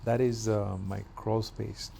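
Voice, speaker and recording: A middle-aged man from Kenya chatting casually while doing yard work outdoors, with relaxed pauses and fillers. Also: fairly low pitch, vocal fry, slight background noise. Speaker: vlogger.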